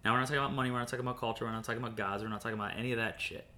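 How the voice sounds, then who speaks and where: A young man hosting a casual podcast chat, rambling and laughing often, drifting into quieter asides. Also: steady tone, very fast. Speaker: podcast host. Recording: faint background noise and rumble.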